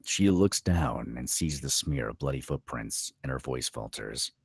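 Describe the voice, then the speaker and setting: A man's voice: very deep voice, animated, unhurried, gravelly, breathy edge, dry recording, clean studio sound. A man narrating screenplay stage directions at a table read, reading scripted scene descriptions.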